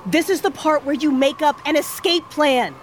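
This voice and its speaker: A woman's voice: high-pitched, moderate pace, shouting, slight background noise, lightly acted. A woman playing a teasing, confident and bossy superhero fighter in an audio drama. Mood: alarmed. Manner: teasing, assertive.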